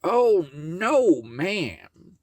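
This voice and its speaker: Man doing a squeaky polite turtle, swoopy and earnest. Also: big pitch swings, extremely slow, a little mellow, no room sound, exaggerated voice.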